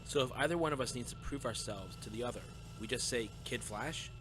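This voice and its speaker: A man playing a calm, earnest young husband in an audio drama, with measured, serious delivery. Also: medium pitch, noisy background, natural voice. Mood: attentive. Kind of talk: audio drama acting and narration.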